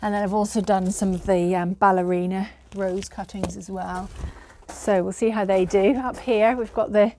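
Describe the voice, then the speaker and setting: Woman, 30s, home gardener, casual tour; mellow, low-key voice, little pitch movement, hesitant, recorded outdoors. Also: fairly even intonation, moderate pace, few pauses, a little hiss or hum. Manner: chatty, hesitant, with frequent fillers. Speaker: gardener showing her garden.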